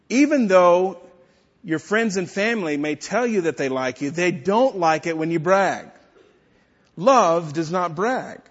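Pastor preaching in a reverberant hall. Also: male voice, on the high side. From Texas. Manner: measured preaching with pointed asides. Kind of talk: sermon. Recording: dull lo-fi sound in a reverberant hall.

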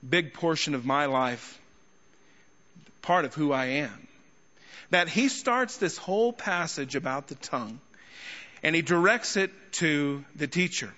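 Bright-voiced male preacher in an echoing hall. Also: a little high, lively intonation. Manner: emphatic, rhetorical questions and deliberate pauses. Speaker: guest preacher and teacher. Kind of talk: expository sermon to a congregation. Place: large indoor church hall. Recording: echoey large hall, slightly muffled sound.

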